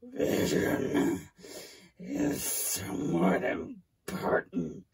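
A woman voicing a big, stubborn, baffled forest monster in an improvised story, with a growling, whispery rasp and a ponderous manner. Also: on the low side, animated, a little mellow, heavily acted. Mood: baffled, grumbling. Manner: ponderous, drawn-out, menacing. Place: small plain room indoors.

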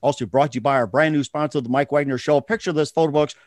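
A man's voice: fairly high pitch, steady tone, quick pace, no room sound. Male podcast host, dry sound. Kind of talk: promotional show hosting and sponsor reads.

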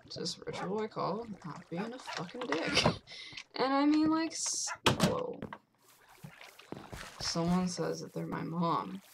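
A young woman with a fairly low voice, rambling absurd jokes while playing a game, with sudden loud outbursts. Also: sing-song, slow, creaky, long pauses. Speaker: gamer. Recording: loud background noise.

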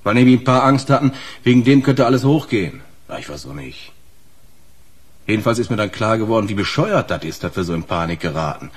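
An actor playing a sheepish, defensive male trucker informant with a husky voice and blunt colloquial talk in a radio crime drama. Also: on the low side, animated, fairly quick. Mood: sheepish, confiding.

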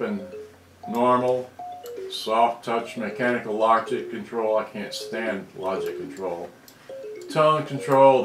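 Male hobbyist presenter, unscripted and rambling, medium pitch, recorded in a roomy echo. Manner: rambling, muttering to himself while searching. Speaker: vintage electronics hobbyist presenter. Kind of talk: casual off-the-cuff show-and-tell narration. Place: indoors in a room at a computer screen. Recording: roomy echo, distant mic, music behind.

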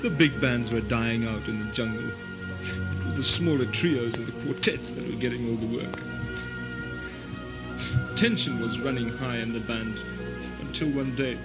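A man's voice: medium pitch, measured pace, long pauses, over loud music, lightly acted, poor audio. A man playing an aggrieved, pompous adventurer telling his past in a comic radio melodrama. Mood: wistful, dramatic.

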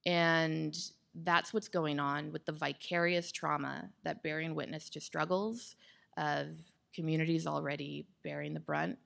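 Woman in her 30s, really creaky voice, giving thoughtful interview answers, measured and articulate. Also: sits low, fairly even intonation, fairly bright tone. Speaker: guest expert on climate psychology. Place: indoor stage with wood-slat backdrop. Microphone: microphone on boom stand.

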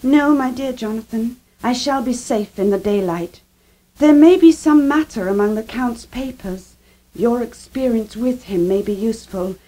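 An actress playing a young Victorian wife under a vampire's curse, earnest and dignified, in a 1970s radio drama. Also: female voice, fairly high pitch, moderate pace, dark tone, natural voice, low-quality recording. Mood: resolute.

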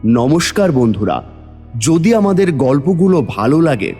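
A man giving expressive scripted narration for a cartoon story. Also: a little high, a little slow, husky, big-room sound. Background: faint music.

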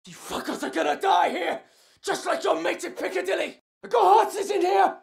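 An actor playing a frantic, vicious militant fighter under siege, shouting in a video game with a strained voice. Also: very high voice, takes it slowly, vocal fry, roomy sound, exaggerated voice. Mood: vicious, taunting.

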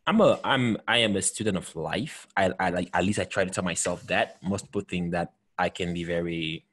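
Man, husky, lowish voice, earnest and emphatic, restarts often, video call host. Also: brisk, fairly bright tone. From Cameroon. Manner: earnest, emphatic, many restarts and repeated words. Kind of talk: conversational video call chat. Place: home room with bookshelves. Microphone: headset with desk microphone.

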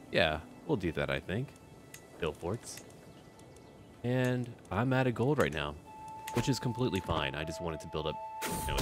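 A man giving casual, lightly roleplayed gameplay commentary, musing aloud in short clipped remarks. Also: low-pitched. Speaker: host of a gameplay series. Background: background music.

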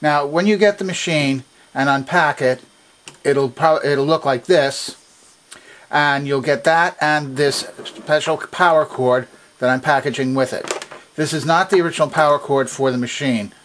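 A man's voice: fairly high pitch, moderate pace, a bit bright. A man giving an instructional demonstration in a methodical, precise, explanatory way. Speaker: demonstrator of a vintage machine. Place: indoor workshop at a workbench.

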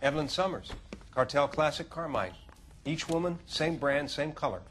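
An American man playing a matter-of-fact middle-aged police forensic examiner in a video game, precise and deliberate. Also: on the high side, lively intonation, fairly bright tone, a little hiss or hum. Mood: grim, factual. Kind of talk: video game cutscene acting.

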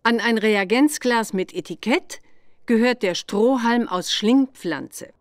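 A woman playing an older witch examiner who gives patient, deliberate instructions in a children's game. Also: fairly high pitch, animated, no echo, studio quality. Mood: patient, instructive.

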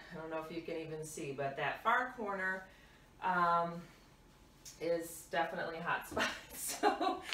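A middle-aged woman chatting casually and off the cuff as she moves around a home kitchen doing chores, like a vlogger. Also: on the low side. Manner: unscripted, talks while moving about doing chores. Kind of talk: casual chat while tidying. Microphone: camera a few meters away. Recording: roomy, distant mic, clattering dish noise. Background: dishes clattering, clicks.

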